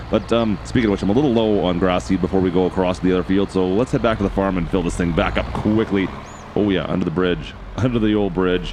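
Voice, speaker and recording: A man with a hoarse, raspy voice casually commenting as he plays a farming game, thinking aloud and talking to his viewers. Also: sits low, background noise. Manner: chatty, thinks aloud, addresses viewers as guys. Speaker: game channel host.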